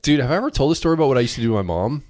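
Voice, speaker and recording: A man's voice: mid-range voice, animated, racing, darkish tone, no room sound, clean studio sound. A man co-hosting a podcast, chatting casually and telling stories with a joking edge. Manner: joking, storytelling. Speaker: podcast co-host. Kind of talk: casual conversation.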